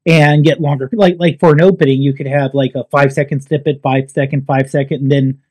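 A man's voice: medium pitch, flat delivery, fast talker, a little mellow. A man in his forties co-hosting a tech podcast from home over a video call, talking casually and restarting his phrases. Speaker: podcast co-host. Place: home room, remote. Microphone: headset over video call.

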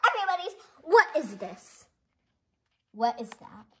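A young girl hosting a read-along, reading a picture book aloud and reacting chattily in a giggly, excitable way. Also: a little high, measured pace, slight background noise. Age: child. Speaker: host of a read-along video. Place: indoors at a dark wooden table. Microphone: camera pointed down at the book.

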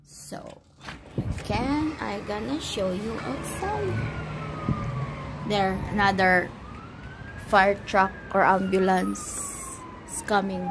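A woman in her forties from the Philippines talks in an unhurried way, guiding viewers through the view from her apartment. She speaks into a phone held at arm's length. Street traffic rumbles clearly in the background. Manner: unhurried, pointing things out to viewers. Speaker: vlogger. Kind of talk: casual phone vlog. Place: high-rise apartment, indoors and on a snowy balcony. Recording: phone recording with clear outdoor traffic noise.

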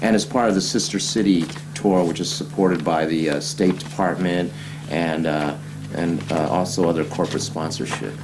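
A man's voice: low voice, fairly even intonation, moderate pace. A man in his forties answering interview questions in a living room, reflective and hesitant with fillers. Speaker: musician interviewee. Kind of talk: seated interview. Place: living room, seated in armchair. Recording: noisy home video recording.

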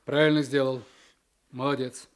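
An actor playing a stern, decisive district official, authoritative and clipped, in a radio play. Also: male voice, mid-range voice, little pitch movement, moderate pace, clear, resonant tone, close to an everyday voice. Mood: businesslike. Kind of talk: Soviet radio play acting.

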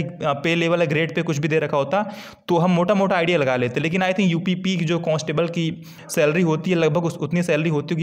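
A man gives an informal, conversational explainer as an exam-preparation host, repeating key points as he walks through a document. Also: on the high side. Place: screen-recorded voice-over.

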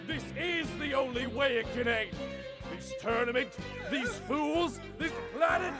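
High, gravelly cartoon monster villain, menacing and sarcastic, music underneath. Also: male voice, a little slow. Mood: grandiose, menacing. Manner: grandiose, sarcastic. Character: theatrical, menacing, petulant male monster villain.

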